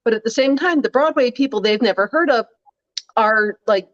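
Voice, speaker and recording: A woman co-hosting a casual chat show, talking in a wry, chatty way with quick asides and a rather deep voice. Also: muffled recording. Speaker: co-host.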